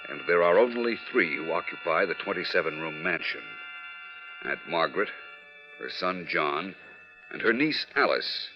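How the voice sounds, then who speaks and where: A male announcer narrates an old radio serial. His low voice is formal, deliberate and dramatic. The recording is muffled, with music playing behind him. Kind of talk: 1940s radio scripted narration.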